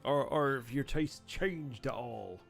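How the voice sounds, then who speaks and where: A man's voice: mid-range voice, slow, gravelly, a bit bright, soft background music. A man voicing a cheery, chatty tavern keeper who welcomes customers politely and repeats himself. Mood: cheery, welcoming.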